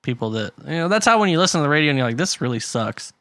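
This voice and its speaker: A man's voice: a little high, very animated, very fast, fairly bright tone, dry recording. A man co-hosting a casual music podcast, chatting loosely, laughing often and reading passages aloud from websites. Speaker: podcast co-host. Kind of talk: casual chat.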